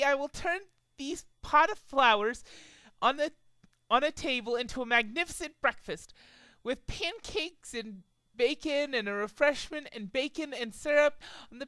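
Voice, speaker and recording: A man's voice: very high-pitched, unhurried, sharp tone, dry recording, voice pushed up, exaggerated voice. A man voicing a boastful, short-tempered female pony stage magician with theatrical announcing. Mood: showy.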